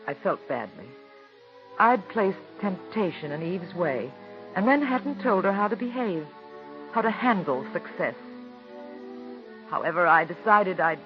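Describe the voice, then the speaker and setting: An actress playing a married woman who narrates and confesses a petty trick against a star, in 1940s radio drama style. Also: on the low side. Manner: confiding, wry and self-reproaching in narration. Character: married woman, a theater star's friend, rueful and firm. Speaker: narrator and lead character. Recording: dull old radio broadcast with music.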